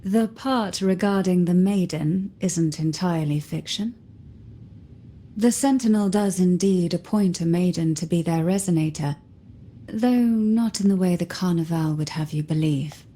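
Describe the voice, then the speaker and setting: Poised, mysterious noblewoman for a video game. Soft, mellow tone, unhurried, formal, measured. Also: lowish voice. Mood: composed, knowing. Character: enigmatic composed noblewoman, secretive and measured.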